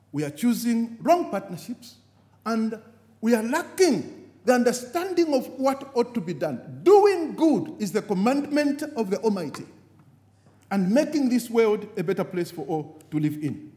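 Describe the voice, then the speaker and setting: High-voiced man preaching in a hall. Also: a little mellow. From Zambia. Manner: preaching cadence, rising on key words and dropping low at phrase ends. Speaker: guest speaker at a party convention. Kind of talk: impassioned convention speech. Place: large convention hall. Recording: long hall reverb from a convention venue.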